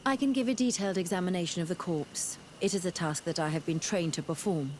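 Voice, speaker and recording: An actress playing a scholarly, detached physician in a video game, formal and exact in speech. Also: female voice, fairly low pitch, quick pace, darkish tone, a little hiss or hum, lightly acted. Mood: clinical, assured. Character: clinical, scholarly female physician, detached and precise. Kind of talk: video game cutscene acting.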